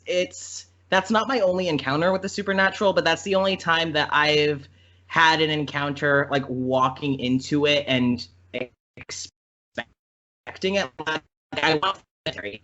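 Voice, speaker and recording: Bright-voiced American man, higher pitched. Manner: self-deprecating, jokey. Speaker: podcast guest, audio drama creator. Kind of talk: casual chat, personal anecdotes. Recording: dull, lo-fi online call audio.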